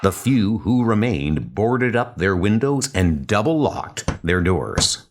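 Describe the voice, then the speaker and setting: A man's voice: fairly low pitch, very animated, takes it slowly. A man giving dramatic, suspenseful narration of horror stories, drawing out his phrases theatrically. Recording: music behind the voice. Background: dramatic music.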